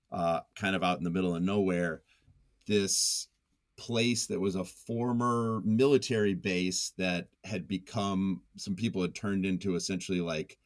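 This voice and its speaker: A man being interviewed as a writer, speaking in a thoughtful, hesitant, self-effacing way in reflective conversation. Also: low-pitched. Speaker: interview guest, nonfiction writer.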